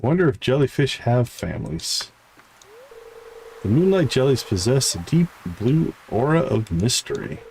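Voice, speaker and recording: A man's voice: medium pitch, mellow, a little hiss or hum, poor audio. A man livestreaming a game, reading character dialogue aloud with stumbles and short asides. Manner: reads on-screen text aloud with stumbles and asides. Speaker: game streamer.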